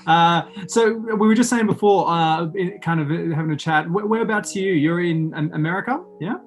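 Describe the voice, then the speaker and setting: A man's voice: high-pitched, a little fast, faint music behind. A man in his late twenties with an Australian accent, hosting a casual interview in an enthusiastic, informal, rambling way. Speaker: podcast host interviewing a guest.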